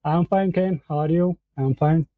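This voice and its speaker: A man from Ethiopia with an Ethiopian accent, giving an informal presentation in halting, hesitant English over a remote call. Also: fairly high pitch, no room sound. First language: Amharic. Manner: halting, hesitant English with frequent searching for words. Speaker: guest presenter. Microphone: remote online call. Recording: tinny, phone-like internet call audio.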